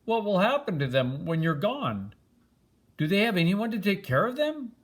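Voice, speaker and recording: An older man voicing a kind, earnest young prince in a children's storybook, speaking gently. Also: high voice, big pitch swings, moderate pace, voice pushed up. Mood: gentle concern.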